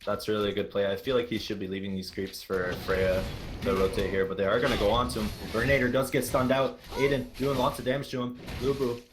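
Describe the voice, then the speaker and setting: A man co-commentating a live esports match with quick analysis that turns hyped on big plays. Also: lowish voice, flat delivery. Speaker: esports caster. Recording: noisy live stream mix.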